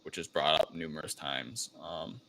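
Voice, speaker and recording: A man hosting a casual film discussion, recapping the plot in a loose, halting way with plenty of filler phrasing. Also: extremely low pitch, fairly even intonation, vocal fry, sharp tone, a little hiss or hum, poor audio. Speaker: podcast host recapping a film.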